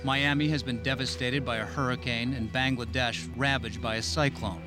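A man's voice: mid-range voice, steady tone, moderate pace. A man delivering scripted narration as the narrator of a documentary. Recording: music under the narration. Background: background music.

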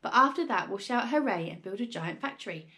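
A woman voicing an excitable, impatient father in a storybook, urgent and insistent, full of grand schemes. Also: mid-range voice, fairly quick. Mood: urgent, bossy. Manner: breathless exclamations, urgent and insistent.

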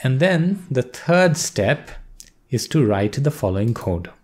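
A male instructor calmly explaining a coding task step by step in a screen-recorded lesson. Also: medium pitch, slow pace, slightly rough. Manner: steady explanatory pace, walks through steps. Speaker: course instructor.

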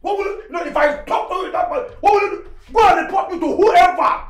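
An actress playing a defiant, stubborn household servant with a Nigerian accent, in repetitive, theatrical outbursts, recorded with roomy echo. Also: female voice, extremely high pitch, quick pace. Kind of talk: Nigerian comedy-drama film acting.

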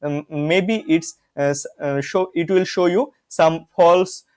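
A young man from Bangladesh with a slightly raised pitch, guiding viewers through a software tool on screen. He talks from a small room into a close desk microphone, calling out each click and often addressing viewers as "guys". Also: slow pace. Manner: walks through steps, frequent "guys" and "see". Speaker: software reviewer. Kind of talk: screen-recorded software tutorial.

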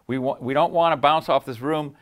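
A man's voice: on the high side, fairly quick, fairly bright tone. An older man giving a technical demonstration as an audio engineer, explaining emphatically and proudly. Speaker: audio engineer presenting his design. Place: small acoustically treated home theater room.

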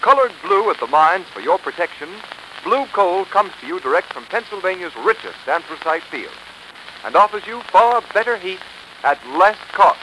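A man's voice: high voice, sing-song, moderate pace, sharp tone. A man announcing in dramatic, emphatic 1930s radio style. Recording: noisy 1930s radio recording.

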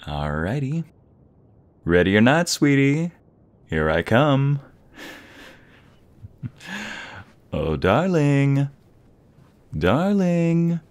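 A man playing a playful, doting young husband in an ASMR roleplay, with a breathy voice that often slips toward a whisper and a teasing, affectionate delivery. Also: mid-range voice, big pitch swings. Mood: playful, calling out. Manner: teasing, affectionate, drawn-out delivery with pet names.

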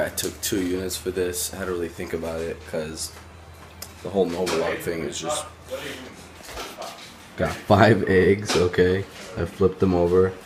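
Young guy with a deep voice rambling into his phone, crowd noise in the background. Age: 20s. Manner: relaxed, chatty, lots of fillers. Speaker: vlogger. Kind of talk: casual daily vlog. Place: car, store and home kitchen. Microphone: handheld phone at arm's length.

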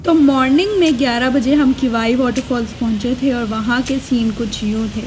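A young woman with a Pakistani accent narrates a travel vlog in a high voice. She is casual and chatty, slipping English phrases into her talk, and music plays behind her. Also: moderate pace, poor audio. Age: young adult. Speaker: travel vlogger. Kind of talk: casual voice-over narration of a trip.

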